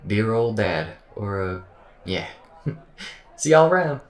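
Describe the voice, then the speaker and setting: A man's voice: on the low side, very animated, slow, roomy sound, pitched above the performer's own voice. A man voicing a nervous, eager-to-please AI with a stammering, folksy way of talking in an audio roleplay. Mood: awkward, friendly. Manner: stammering, folksy drawl phrasing.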